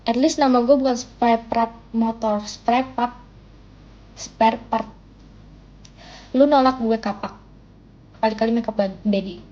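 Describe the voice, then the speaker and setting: A woman's voice: fairly high pitch, steady tone, measured pace, pauses often, mellow. A young woman, chatting casually and teasing on a livestream. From Indonesia. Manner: playful, teasing, self-mocking banter. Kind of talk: casual chat. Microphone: phone mic. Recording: dull lo-fi phone stream audio.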